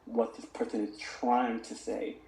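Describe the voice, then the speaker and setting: A man's voice: medium pitch, flat delivery, unhurried, creaky, darkish tone. A young man speaking earnestly as a podcast guest, reflecting on mentoring kids and reciting his own lyrics. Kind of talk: reflective conversational interview. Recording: echoey room.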